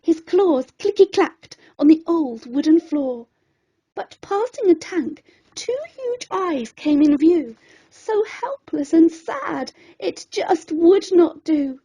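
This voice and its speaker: A woman reading a rhyming children's bedtime story in a dramatic, expressive way. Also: very high-pitched, slow, rough recording.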